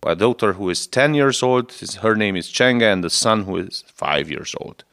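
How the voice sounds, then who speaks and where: Low-voiced hungarian-speaking bishop, 40s, earnest, stops and restarts a lot in english. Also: male voice, lively intonation, fairly bright tone. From Romania, Transylvania. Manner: halting, searching for words, earnest. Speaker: Lutheran bishop. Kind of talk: radio interview answers.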